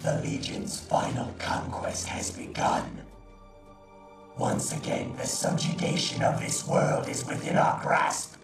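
Casting: male actor as grandiose undead warlord, video game. Breathy, cold, slow, drenched in echo. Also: close to an everyday voice. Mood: ruthless triumph. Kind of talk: video game character acting. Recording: echoing, distant, loud music behind.

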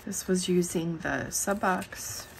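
A middle-aged woman losing her voice, chatting slowly and musing aloud as she flips through her planner at a desk. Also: fairly low pitch, vocal fry, a little hiss or hum, poor audio. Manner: slow and musing, thinking aloud. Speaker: planner channel host. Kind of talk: casual plan-with-me chat. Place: indoors at a desk.

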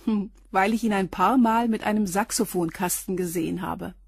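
An actress playing a reserved, respectable concierge of about forty, guarded and matter-of-fact in a radio crime drama. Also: female voice, mid-range voice, moderate pace, gravelly, husky, dry recording, studio quality.

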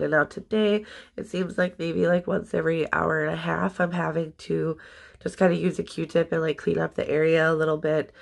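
A woman in her thirties gives a casual video update with a blocked, stuffy nose. Her voice sits fairly low and often drops into gravelly fry. She rambles in an easy way, with plenty of ums and likes, close to a phone in a small room. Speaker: vlogger. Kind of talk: casual personal update to camera.

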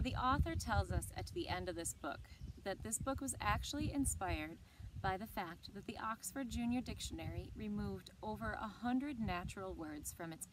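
A woman in her thirties reading aloud to children in an expressive storytelling style, with short asides. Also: mid-range voice, background noise. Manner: expressive, clearly paced reading to young listeners. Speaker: library story time reader. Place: outdoors on a lawn beside evergreen trees. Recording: outdoor ambience with birdsong. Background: birds and outdoor ambience.

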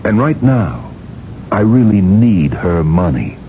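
Deep-voiced cynical tycoon, very animated. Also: male voice, takes it slowly, full character voice. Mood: glib, persuasive. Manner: defensive, cynical. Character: cunning, ruthless male industrialist, cynical and defensive. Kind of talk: 1980s radio drama acting. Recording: dull, noisy old radio recording.

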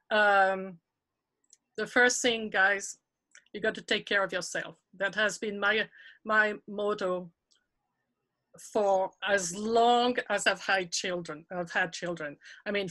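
Slow bright-voiced French woman in her sixties. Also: medium pitch. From France. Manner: chatty, encouraging, laughs often. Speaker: retired teacher, workshop presenter. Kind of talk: professional development webinar talk. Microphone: video call.

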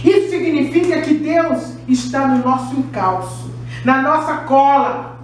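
A woman's voice: high voice, lively intonation, measured pace, a little hiss or hum. A middle-aged woman pastor preaching an impassioned sermon in a church room, recorded by a far camera. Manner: impassioned preaching with raised finger gestures. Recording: very echoey room, voice from afar.

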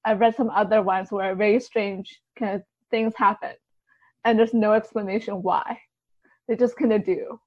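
A woman's voice: mid-range voice, fairly even intonation, moderate pace, darkish tone, no echo, muffled recording. A woman speaking as a podcast guest in a casual literary discussion, informally hedging her points with many small filler phrases. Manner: hedging, informal, repeats "kind of" and "like".

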